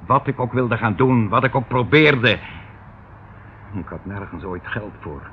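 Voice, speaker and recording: Unctuous uncle, swooping theatrical delivery, tinny recording. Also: male voice, fairly low pitch, expressive, measured pace. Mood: boastful, wistful. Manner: theatrical, patronising, rambling, chuckling. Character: unctuous, evasive uncle captor, pompously cultured, falsely doting. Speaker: radio drama actor. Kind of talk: Dutch radio play acting. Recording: tinny, hissy old radio play recording.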